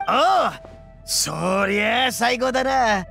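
A man voicing a brash, hot-blooded, good-hearted oni gang boss in an anime game, with loud, swaggering, rough speech. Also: very high voice, lively intonation, takes it slowly, heavily acted. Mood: hearty, boisterous. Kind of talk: Japanese anime game dub acting. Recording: music under the voice.

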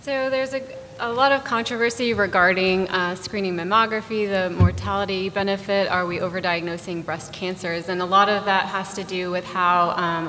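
A woman's voice: lowish voice, a bit bright, slight background noise. A woman in her thirties, a radiologist on a conference panel, explaining carefully with frequent ums. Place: large conference ballroom. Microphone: table microphone. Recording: echoey hall with long reverb.

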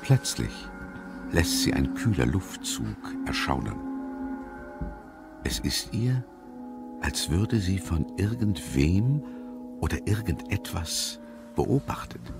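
A male narrator of a suspense radio play, with a very low, creaky voice. He reads slowly over music. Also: very animated.

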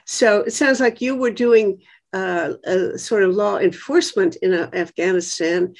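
An elderly woman in her eighties interviewing someone on a video call, thinking through her questions in a halting, conversational way. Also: mid-range voice, a little mellow. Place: dim book-lined room at home. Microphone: computer mic on a video call.

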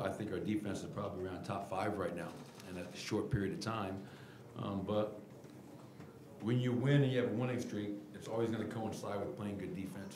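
Middle-aged coach guy at a press table, lowish voice, roomy echo and steady hiss behind. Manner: candid, animated, gestures while explaining. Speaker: basketball head coach. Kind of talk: post-game press conference answers. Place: press room at team podium. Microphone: table mic in front of him.

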